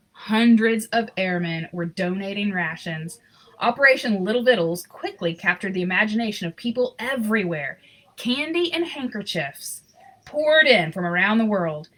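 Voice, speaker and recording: A woman reads a children's picture book aloud at a medium pitch. She tells it expressively and without hurry, with a sentimental streak, and slips into casual asides to her listeners. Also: a bit bright, poor audio. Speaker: storybook reader.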